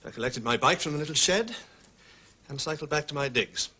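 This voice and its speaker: A man's voice: a little high, animated, a little fast, pushed and bright, husky, full character voice. An actor playing a cooperative, mildly aggrieved man being questioned in a British detective drama.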